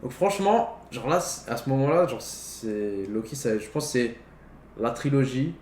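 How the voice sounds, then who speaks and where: A man's voice: medium pitch, animated, normal speed, darkish tone. A young man chatting casually about films, peppering his slangy talk words. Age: young adult. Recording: roomy echo, faint background noise.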